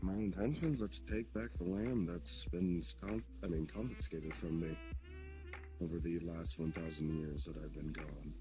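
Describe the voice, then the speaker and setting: Ancient demon king, acted by a man: very low, coldly threatening, measured, for online roleplay, music behind. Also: steady tone, close to an everyday voice. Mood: coolly guarded.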